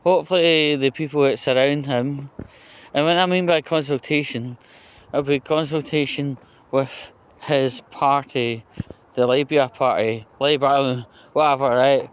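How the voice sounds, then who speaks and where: A man's voice: mid-range voice, steady tone, measured pace, clear, resonant tone, lo-fi sound. A Scottish man giving a rambling, conversational political monologue as a vlogger, blunt, sweary and opinionated, often checking in with tag questions.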